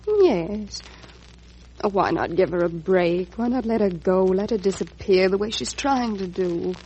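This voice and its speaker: A dull vintage radio recording of an anxious American wife. Her voice is husky and mid-pitched, pressing her point in period melodrama style. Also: a little slow, mellow. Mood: pleading, impatient. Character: anxious, persuasive wife urging her husband to rest. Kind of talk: 1940s radio acting.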